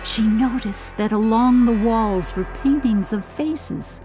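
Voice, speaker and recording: Slow, dramatic woman audiobook narrator. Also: a little high, over music. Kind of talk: scripted storybook narration.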